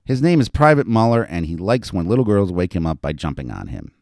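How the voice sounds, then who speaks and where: Guy doing a joker soldier in a story, sly and teasing, animated. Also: low voice, a little fast, no room sound, studio quality. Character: mischievous, joking soldier in a storybook.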